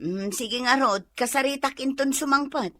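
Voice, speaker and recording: A woman's voice: high voice, moderate pace, fairly bright tone, no room sound. A woman playing a worried, weary mother working far from her family in a radio drama, plaintive and questioning. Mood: insistent, concerned. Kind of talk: Ilocano comedy radio drama acting.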